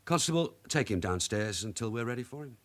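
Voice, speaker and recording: A man acting in a radio drama as a shrewd veteran police sergeant with a London accent and a dry, brisk manner. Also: mid-range voice, animated, lightly acted. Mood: firm, sardonic.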